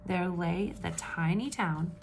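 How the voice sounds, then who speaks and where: Lady reading a kids book out loud, kinda low and gravelly, animated, stops to ask the kids stuff quietly. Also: a little hiss or hum. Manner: animated, pauses to ask listeners questions, hushed asides. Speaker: read-aloud storyteller for children. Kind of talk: expressive picture-book reading.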